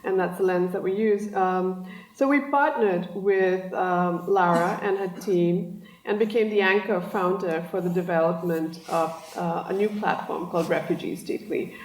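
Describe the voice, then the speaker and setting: Woman, panel talk, halting with many ums, lowish voice, echoey hall from far off. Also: steady tone. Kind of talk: presentation with slides. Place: large hall.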